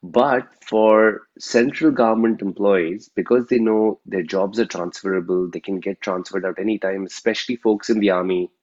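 A man's voice: fairly low pitch, steady tone, moderate pace, hardly any pauses, darkish tone, dull audio. A man with an Indian accent, a native Telugu speaker, reflectively telling his own story as an interview guest and often tagging his points with a questioning "right?".

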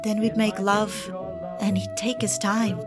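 A woman's voice: medium pitch, flat delivery, unhurried, music in the background, lightly acted. An actress playing a romantic, yearning woman in love, intimate and reflective, in a confessional audio drama. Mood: wistful, reflective. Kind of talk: audio drama, confessional first-person narration.

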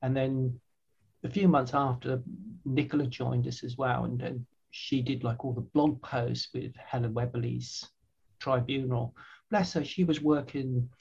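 A woman's voice: rumbling low, fairly even intonation, measured pace, gravelly, darkish tone, breathy edge, highs cut off. An older woman, earnestly answering interview questions with frequent restarts and repeated words. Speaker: website founder, guest.

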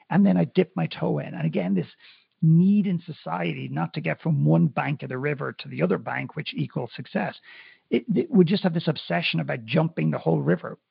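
Irish-born male coach guest, raspy fry-laden voice, emphatic and earnest. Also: on the high side, no room sound, dull audio. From Ireland. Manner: earnest, emphatic, many fillers and restarts. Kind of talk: reflective motivational talk. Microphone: remote call.

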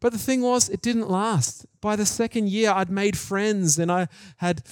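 A middle-aged man with an Australian accent preaches in an animated, conversational way, telling personal stories in colloquial language. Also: sits high, unhurried, no echo, clean studio sound. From New Zealand and Australia.

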